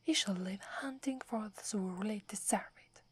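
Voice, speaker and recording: A woman's voice: mid-range voice, normal speed, husky, lightly acted. A woman with an Italian accent voicing a proud, wary female werewolf who guards a holy forest, speaking in an accusing whisper for an ASMR roleplay. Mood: scornful. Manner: scornful, accusing.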